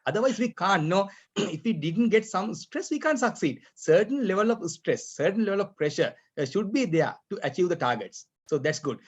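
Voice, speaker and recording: A man running a mock speaking exam as tutor, talking haltingly with many fillers and often clearing his throat, heard over a video call. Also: high voice, expressive. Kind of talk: examiner questions over an online class. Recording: dull lo-fi online call audio.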